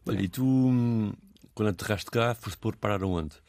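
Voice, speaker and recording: A man's voice: lowish voice, normal speed, vocal fry, darkish tone, no echo, clean studio sound. A male radio host running a casual interview, asking loose questions with fillers.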